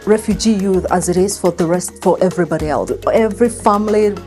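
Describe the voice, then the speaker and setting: A woman speaking in a lively, emphatic way in an interview. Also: medium pitch, fast, soft background music.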